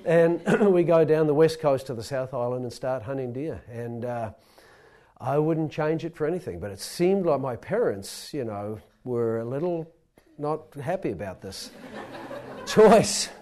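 A man's voice: medium pitch, animated, unhurried, dark tone. A middle-aged man with a New Zealand accent telling a rambling, self-deprecating personal story to a live audience.

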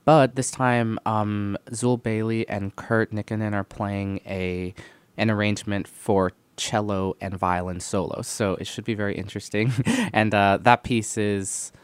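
A young American man, a violinist guest on a radio interview, relaxed, laughing often, with many "um"s. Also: fairly low pitch, measured pace, clean tone, no echo. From United States (Arizona). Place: radio studio.

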